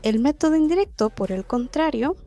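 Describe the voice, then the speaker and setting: A woman's voice: high voice, lively intonation, normal speed, dark tone, faint music behind. A woman teaching a language class, explaining methods in a conversational way and often asking herself rhetorical questions. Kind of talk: explaining a lesson to camera.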